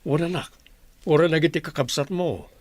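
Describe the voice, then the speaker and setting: A man's voice: on the high side, moderate pace, darkish tone, no room sound, natural voice. A man playing a caring, hardworking village father who fusses over his daughter in a radio drama. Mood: caring, advising. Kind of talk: radio drama acting in Ilocano.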